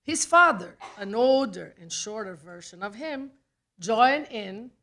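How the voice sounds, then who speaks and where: A woman with a Brazilian accent, a native Portuguese speaker, reads a personal essay aloud at a live event, with deliberate phrasing and dramatic pauses. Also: mid-range voice, lively intonation, a bit bright. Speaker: writer reading own personal essay. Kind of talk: scripted storytelling read aloud at a live event. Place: small theatre, live reading event.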